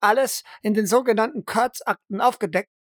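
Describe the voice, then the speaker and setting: A woman's voice: fairly high pitch, brisk, dry recording, studio quality, natural voice. A woman voicing an earnest television news reporter with grave, emphatic delivery in an audio role-play. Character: earnest female TV news reporter revealing a scandal.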